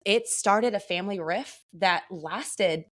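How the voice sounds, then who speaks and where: A woman co-hosting a podcast, chatting casually and telling stories in a loud, animated way as a wedding photographer. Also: mid-range voice, slow. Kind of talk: casual chat.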